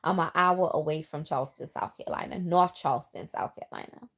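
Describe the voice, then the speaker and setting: A woman's voice: deep voice, fairly quick. A middle-aged woman from the Bronx, now living in the South, chatting casually with viewers on a livestream and reading their comments aloud. Her New York accent has picked up some Southern twang. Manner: chatty, lively, talks straight to viewers. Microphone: phone.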